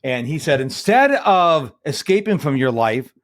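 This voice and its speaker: A man's voice: on the high side, sing-song, a little slow, no echo. A man, hosting a podcast interview in an enthusiastic, motivational way. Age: 50s. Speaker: podcast host. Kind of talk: casual interview conversation.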